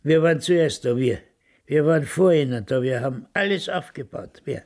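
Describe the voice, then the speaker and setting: A man's voice: a little high, normal speed, a little mellow, close to an everyday voice. An older man acting a stubborn, grumbling man in his nineties in a radio play. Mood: defiant. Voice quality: gravelly, husky. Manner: emphatic, repetitive grumbling. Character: indignant, stubborn, vain, wistful 95-year-old man.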